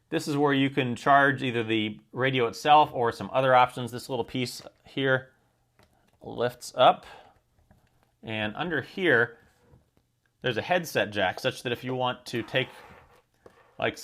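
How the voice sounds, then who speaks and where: A man's voice: mid-range voice, fairly bright tone. An American man giving an informal product demonstration and review, explaining each point in a matter-of-fact way.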